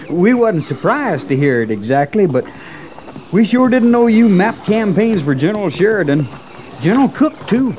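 An actor playing an eager, admiring, folksy cavalry trooper in a 1950s radio western. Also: male voice, high-pitched, big pitch swings, moderate pace, a little mellow. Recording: dull, faintly noisy old radio recording.

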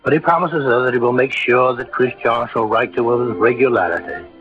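A man's voice: medium pitch, fairly quick. A man giving scripted narration for an old-time radio drama. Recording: dull vintage radio recording. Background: music.

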